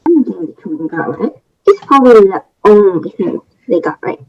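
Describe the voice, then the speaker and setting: A woman's voice: mid-range voice, very animated, moderate pace. A woman playing a prim, aggrieved fairy-tale woman, matter-of-fact and self-justifying, in readers theater. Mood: prim, proud. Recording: dull, lo-fi microphone sound.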